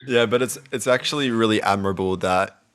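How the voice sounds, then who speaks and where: A man with a fairly low voice hosts a casual music interview. He talks informally, as if chatting with guests. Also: a little fast, a bit bright, smooth. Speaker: podcast host.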